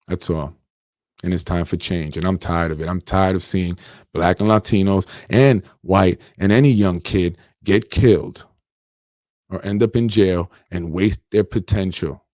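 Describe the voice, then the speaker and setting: A man's voice: sits low, a little mellow, dry recording, clean studio sound. A man in his forties from Yonkers, New York, hosting his own podcast in an earnest, plainspoken solo monologue, often repeating himself and saying "you know.". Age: 40s. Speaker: podcast host.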